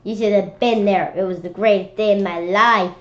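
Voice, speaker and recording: A woman reading a children's story aloud in an expressive, animated way, occasionally stumbling over words. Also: medium pitch, normal speed. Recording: dull lo-fi sound with clear background noise.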